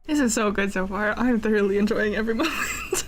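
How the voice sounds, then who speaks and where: A woman's voice: medium pitch, brisk. A woman streamer giving casual playthrough commentary, with murmured asides as she reads choices aloud, over some background noise. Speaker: video game streamer.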